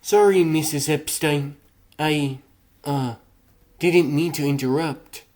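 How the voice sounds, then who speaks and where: A man's voice: fairly high pitch, fairly even intonation, takes it slowly, darkish tone. A man playing a moody, defensive teenage boy hero in a comic dub, with an irritable, muttering manner. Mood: sheepish.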